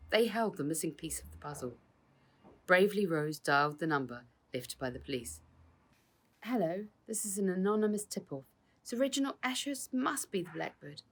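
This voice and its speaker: Female author-narrator for children's fiction: low register, lightly husky, storybook reading. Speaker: author reading own book.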